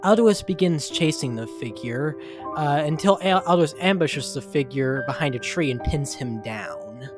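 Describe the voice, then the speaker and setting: A man's voice: a little high, animated, normal speed. A man reading scripted story narration as the narrator. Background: background music.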